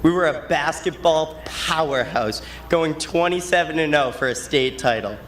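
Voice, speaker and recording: A man's voice: fairly high pitch, normal speed, a bit bright, a little hiss or hum. A young man, giving a prepared graduation speech as a student. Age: late teens.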